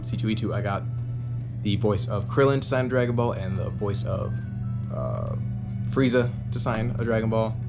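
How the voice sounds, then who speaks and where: Chatty young man over background music. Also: fairly low pitch. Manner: rambling, chatty, many ums and restarts. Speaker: vlogger showing convention haul. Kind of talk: casual chat to camera. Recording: music playing behind.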